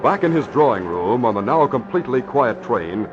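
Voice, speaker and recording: A man's voice: medium pitch, very animated, normal speed, over music. A man narrating an old-time radio drama in a dramatic, scripted style. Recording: muffled, noisy old radio recording.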